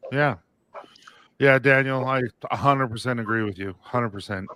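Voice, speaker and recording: A man chats loosely on a livestream, tired and laid-back, rambling from thought to thought. His voice has a bright, forward edge. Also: mid-range voice, normal speed, clean tone. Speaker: business owner and host. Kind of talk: casual livestream chat.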